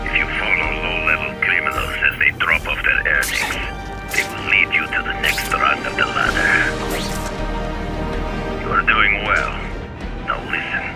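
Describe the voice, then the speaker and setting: A man playing a stern, mentoring master hunter in a video game, speaking formally and gravely in a measured way. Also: low-pitched. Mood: instructing, stern. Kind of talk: video game cutscene acting. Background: loud music.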